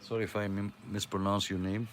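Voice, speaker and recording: A man's voice: on the low side, flat delivery, fairly quick, slight background noise. A middle-aged man from Greece with a Greek accent, hosting a casual CB radio chat in a friendly, hesitant way with lots of fillers. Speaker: CB radio net host.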